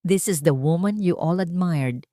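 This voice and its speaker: A woman's voice: on the low side, measured pace, dark tone, dry recording, clean studio sound, close to an everyday voice. A woman voicing a cold, authoritative betrayed rich man in a dramatic audio storybook. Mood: bitter.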